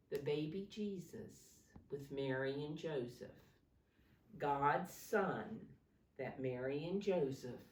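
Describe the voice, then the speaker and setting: Female preschool story reader, low register, deliberate teacherly delivery, recorded in a roomy space. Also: a little mellow, slight background noise. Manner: slow, deliberate, pausing to ask young listeners questions. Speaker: teacher reading a picture book to preschoolers. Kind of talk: expressive children's storybook read-aloud. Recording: roomy echo, distant microphone.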